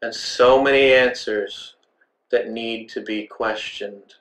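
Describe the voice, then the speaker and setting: A man voicing a calm old man who speaks in measured, formal riddles as a disembodied host in a tabletop game. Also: mid-range voice, echoey room. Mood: cryptic, composed.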